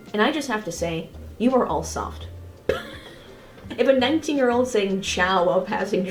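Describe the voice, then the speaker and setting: A woman giving a casual, opinionated race review in an informal way. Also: medium pitch. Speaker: motorsport analysis video host. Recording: echoey room, faint music behind.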